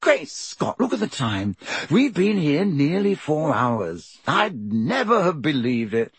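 A man's voice: a little high, sing-song, slow, breathy edge, dry recording, pitched above the performer's own voice, full character voice. A man reading aloud, voicing a vain, self-admiring male celebrity wizard teacher in a grand, theatrical manner. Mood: gushing.

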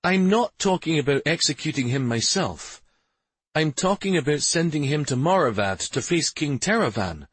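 A man's voice: animated, moderate pace, breathy edge, no room sound. Man voicing an intense, commanding fantasy prince. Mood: righteous anger. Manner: forceful, commanding, low intense speech. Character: intense, angry young prince bent on justice. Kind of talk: fantasy audiobook character dialogue.

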